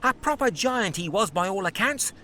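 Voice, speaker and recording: A cheeky Cockney newsboy for a video game. The voice is extremely high and lively, chirpy and gossipy, with faint street noise behind it. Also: male voice, moderate pace. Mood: cheeky, eager. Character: cheeky young street newsboy, eager for coins. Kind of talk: dramatic video game voice acting.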